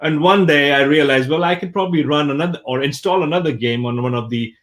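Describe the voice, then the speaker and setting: A man from Pakistan, a guest on an interview show, telling his story in a relaxed, chatty way with many fillers. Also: fairly high pitch, quick pace. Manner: relaxed and chatty, with many fillers like "uh" and "you know", laughs lightly at himself. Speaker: guest, technology executive.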